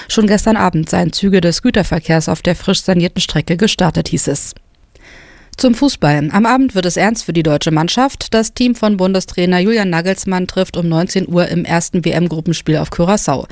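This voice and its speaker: A man reading a radio news bulletin and the weather in a steady, even delivery. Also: sits high, creaky, no echo, studio quality.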